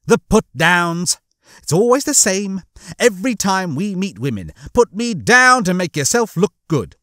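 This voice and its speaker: A man's voice: high-pitched, very animated, unhurried, fairly bright tone, reverberant, pitched above the performer's own voice, heavily acted. A man voicing a vain, touchy, sneering male officer in an indignant, pompous manner for a comic audiobook. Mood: aggrieved.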